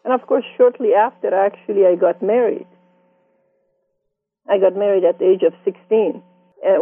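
A woman in her sixties from Iran with a Persian accent, telling her life story in an interview with reflective, gently amused warmth. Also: medium pitch, no room sound. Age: 60s. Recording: dry tinny phone-like sound.

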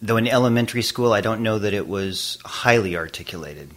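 An older man with a very low voice recalls his childhood in an interview. He is from Boston and talks in a reflective way, trailing off and filling pauses as he thinks. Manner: reflective, with frequent fillers and trailing phrases. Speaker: interview guest, abstract painter. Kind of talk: relaxed conversational recollection.

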